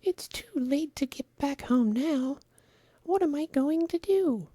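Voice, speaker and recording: A man voicing a small, kindly female storybook mouse in a thin, gentle falsetto. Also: expressive, slow, no echo, full character voice. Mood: worried.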